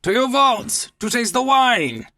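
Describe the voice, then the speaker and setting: A man's voice: extremely high pitch, big pitch swings, slow, bright, forceful tone, no echo, studio quality, pitched above the performer's own voice, exaggerated voice. A man voicing a boastful, tipsy, hearty wine connoisseur in a storybook reading, exclamatory and scornfully dismissive. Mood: insistent, dismissive. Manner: exclamatory, blustering, dismissive with laughs.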